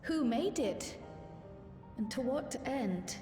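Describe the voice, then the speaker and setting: An actress playing an earnest, thoughtful young woman scholar in a video game, deliberate and inquisitive. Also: high-pitched, animated, warm tone. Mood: inquisitive, pondering. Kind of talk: dramatic game cutscene acting. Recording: echoey hall reverb, game music.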